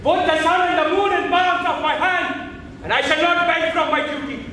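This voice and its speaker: An actor playing a pious, proud Mughal emperor, a wounded younger brother, solemn and declamatory in a stage play. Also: male voice, very high-pitched, fairly even intonation, normal speed, sharp tone, a little hiss or hum. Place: indoor theatre stage. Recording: echoey stage hall, distant mic.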